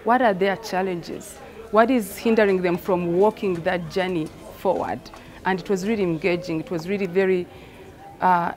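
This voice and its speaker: A woman's voice: medium pitch, darkish tone. A woman from Rwanda, a theatre director explaining her work reflectively, repeating phrases for emphasis.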